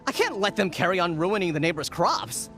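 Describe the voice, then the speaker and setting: A man's voice: high-pitched, very animated, brisk, pushed and bright, soft background music, exaggerated voice. An actor playing a sheepish, evasive male villager in a video game, hesitant and whiny. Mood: grateful, contrite. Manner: hesitant, whiny excuse-making.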